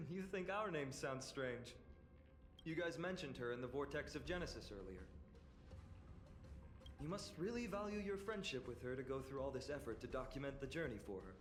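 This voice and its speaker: A man's voice: medium pitch, animated, moderate pace, natural voice. A young man voicing an earnest, friendly male hero who speaks sincerely and thoughtfully. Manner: warm-hearted, sincere, reflective. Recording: echoey, faint game music behind.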